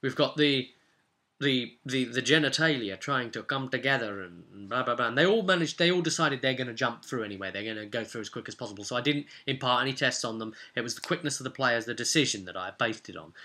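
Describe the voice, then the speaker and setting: A man talking casually as a game master and video host, rambling through a recap of a tabletop session with animated, emphatic repetition and rhetorical asides. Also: mid-range voice, quick pace.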